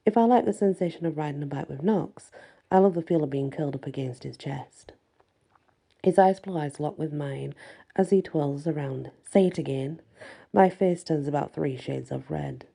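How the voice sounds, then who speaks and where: A woman reading a first-person fantasy story as soft ASMR audiobook narration. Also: deep voice, moderate pace, gravelly. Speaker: story reader.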